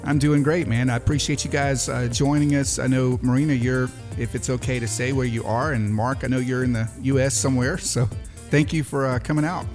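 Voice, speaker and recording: A man's voice: medium pitch, brisk. Laid-back male podcast host over music. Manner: relaxed, filler-heavy, friendly. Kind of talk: casual podcast interview. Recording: music playing underneath.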